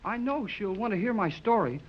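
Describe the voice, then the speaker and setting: A man's voice: high-pitched, animated, fairly quick. A young man playing an eager, cheeky, indignant kid with brash slangy talk in a 1930s radio serial. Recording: dull lo-fi 1930s radio recording.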